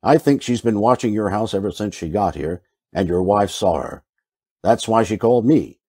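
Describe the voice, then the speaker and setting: Actor as a low, mellow hardboiled private eye. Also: male voice, normal speed, slightly rough, no echo, studio quality. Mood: coolly accusing. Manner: deadpan, wry. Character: shrewd, world-weary, wry hardboiled private detective man. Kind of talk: old-time radio detective drama acting and narration.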